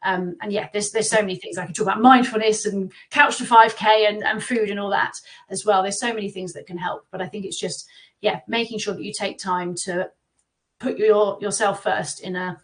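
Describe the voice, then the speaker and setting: A woman's voice: mid-range voice, steady tone, fairly quick. Chatty reassuring woman. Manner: chatty, reassuring, many fillers. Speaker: guest from a baby class platform. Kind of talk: casual live Q&A. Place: remote live video call.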